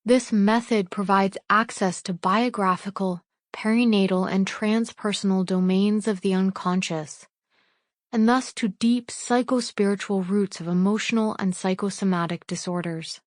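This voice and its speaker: A woman reading a book excerpt aloud in a formal, measured audiobook style. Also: mid-range voice, fairly even intonation, dry recording, clean studio sound. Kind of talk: scripted read-aloud, audiobook-like narration.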